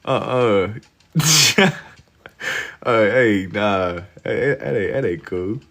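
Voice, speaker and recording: A man in his twenties with a very deep voice hosts a livestream and chats with viewers. He talks in relaxed slang and turns loud and laughing when chat teases him. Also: very slow. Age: 20s. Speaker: livestream host. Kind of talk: casual chat with viewers while drawing.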